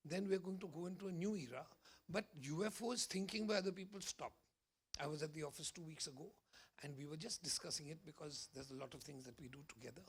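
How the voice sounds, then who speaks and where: A man talks in a press interview, his voice hoarse, gravelly and raspy. He sits a touch high in pitch and pushes every point home with emphatic, persuasive confidence. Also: fast. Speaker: film distribution executive.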